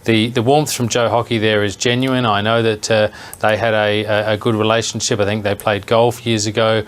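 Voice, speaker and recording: Aussie bloke doing news analysis, low n flat, measured, bit hesitant. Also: male voice, clean tone. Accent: Australian. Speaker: political commentator.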